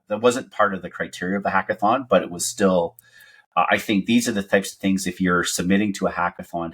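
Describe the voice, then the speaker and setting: A man from Canada co-hosts a podcast with a very low, husky voice. He rambles with hesitant restarts, circling back as he explains. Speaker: podcast co-host. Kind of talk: casual podcast chat.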